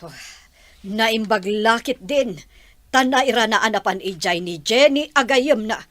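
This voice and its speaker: A woman's voice: a little high, measured pace, a bit bright, no room sound. An actress playing a commanding, impatient middle-aged mother in an audio drama. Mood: talking. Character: mother of a rich family, middle-aged, bossy. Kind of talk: Ilocano audio drama acting.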